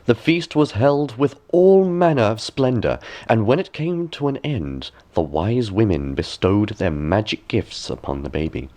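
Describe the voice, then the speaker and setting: A man narrating a bedtime fairy tale as a storyteller, with expressive, measured and deliberate phrasing. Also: lowish voice. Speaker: storyteller, audiobook reader.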